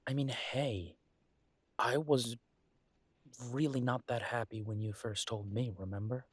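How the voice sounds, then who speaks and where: A man playing a caring, weary werewolf detective boyfriend in an intimate roleplay audio drama, speaking in an earnest, gently coaxing, conversational way. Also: medium pitch, fairly even intonation, a little slow, breathy edge, no echo. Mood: earnest, exasperated.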